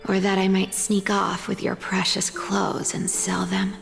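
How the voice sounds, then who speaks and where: A woman voicing a guarded young female thief and habitual liar in a video game, with a slow, teasing, self-deprecating way of talking. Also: medium pitch, monotone, gravelly. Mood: wry, guarded. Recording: long hall reverb over music.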